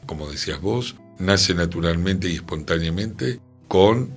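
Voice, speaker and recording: A man's voice: low voice, expressive, unhurried, breathy edge. A man with an Argentine accent hosting a radio talk on culture in a musing, conversational manner. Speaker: radio host. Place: radio studio. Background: music.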